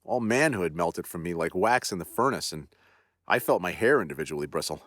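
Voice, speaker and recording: A man playing a proud general, shaken to the core, telling his tale in earnest, formal old-fashioned speech. The voice sits fairly low and sounds close and dry, with no room around it. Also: a bit bright, no echo. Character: shaken proud male army general confessing terror.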